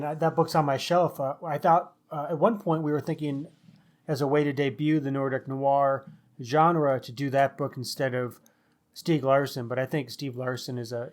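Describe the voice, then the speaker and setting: A man co-hosting a book podcast, talking in a casual, chatty style. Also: medium pitch. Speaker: podcast co-host.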